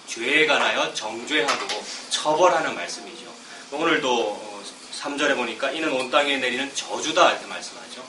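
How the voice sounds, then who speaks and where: A middle-aged man with a cold preaching a sermon, chatting casually between slow scripture readings. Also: medium pitch, sharp tone. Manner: conversational, self-deprecating asides between slow scripture reading. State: has a cold, coughing. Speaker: pastor. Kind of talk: expository sermon. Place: church sanctuary. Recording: roomy, distant mic with background noise.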